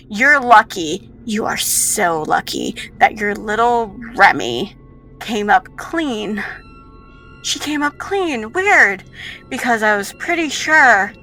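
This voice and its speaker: A woman's voice: medium pitch, vocal fry, sharp tone, exaggerated voice, low-quality recording. A woman voicing a cruel female scientist villain in a tabletop game, condescending and mock-sweet, drawing out her taunts.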